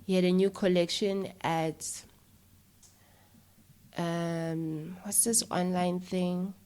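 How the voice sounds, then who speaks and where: A woman's voice: fairly low pitch, steady tone, slow, a little mellow, clean tone. A woman with a South African accent talking casually and opinionatedly as a podcast guest, emphatic and often checking that the listener follows. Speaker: podcast guest, filmmaker and YouTuber. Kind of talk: casual, opinionated interview talk.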